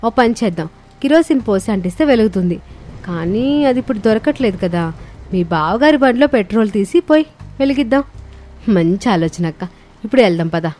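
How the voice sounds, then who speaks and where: A woman's voice: mid-range voice, lively intonation, a little fast, darkish tone, lightly acted. A woman voicing a silly, earnest young daughter-in-law in a cartoon family story. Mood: eager. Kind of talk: cartoon moral-story dialogue. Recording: music under the voice.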